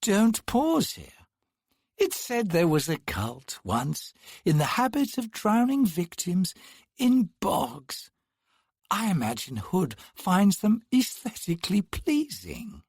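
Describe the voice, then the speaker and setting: A man's voice: very high-pitched, wildly swooping pitch, slow pace, no echo, studio quality, pitched above the performer's own voice, exaggerated voice. A man voicing a young male immortal twin who speaks in a light, drawling, mocking way. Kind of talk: audiobook character reading.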